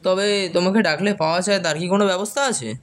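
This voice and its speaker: A man's voice: sits high, quick pace, close to an everyday voice. An actor playing an easygoing young male friend on a trip, heard slightly from a distance. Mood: casual. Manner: casual, friendly, relaxed.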